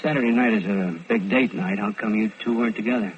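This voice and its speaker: An actor playing an unhurried, probing American police lieutenant in a vintage radio crime drama. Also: male voice, fairly low pitch, steady tone, close to an everyday voice. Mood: probing, casual. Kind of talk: 1940s-50s radio crime drama acting. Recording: dull, faintly noisy old radio recording.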